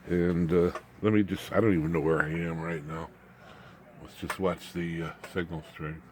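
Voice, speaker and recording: A man giving an informal, unscripted electronics demonstration, haltingly, muttering asides as he works with his hands. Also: low-pitched, fairly even intonation, a little mellow, slight background noise. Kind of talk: informal unscripted technical show-and-tell.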